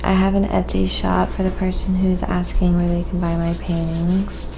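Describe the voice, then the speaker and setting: Low, creaky, soft-spoken woman streamer. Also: normal speed, background noise. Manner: relaxed rambling, musing aloud while painting. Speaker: livestream painter host. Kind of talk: quiet ASMR painting livestream, casual chat.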